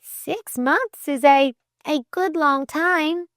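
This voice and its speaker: A woman's voice: high voice, slow pace, fairly bright tone, dry recording, studio quality, pitched above the performer's own voice. A woman voicing an earnest, flustered young girl who talks formally and hesitantly in an audiobook story. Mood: timid, earnest.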